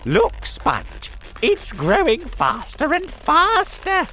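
A reader voicing a shrill, spiteful, bossy old aunt in a storybook reading, shrieking high and loud. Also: wildly swooping pitch, extremely slow, a little hiss or hum, exaggerated voice. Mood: shrill, excited.

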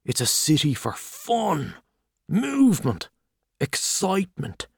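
A man voicing a boastful, hearty Irish journalist, talking loudly and expansively over drinks. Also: on the high side, huge pitch swings, takes it slowly, gravelly, breathy edge, dry recording, clean studio sound, pitched above the performer's own voice, exaggerated voice. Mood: boisterous. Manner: loud, expansive, back-slapping.

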